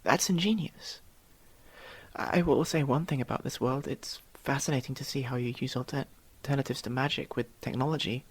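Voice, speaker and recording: Someone acting a husky, curious young elf. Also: mid-range voice, moderate pace. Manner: inquisitive, slightly formal, hesitant stammers. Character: curious, proper young elf mage student from another world. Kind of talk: ASMR roleplay, one-sided dialogue with the listener.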